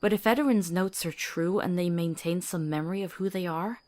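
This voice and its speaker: A woman playing a compassionate, sharp-tongued companion to a monster hunter in a fantasy visual novel, earnest and articulate. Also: on the low side, fairly quick, close to an everyday voice. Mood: troubled, pleading.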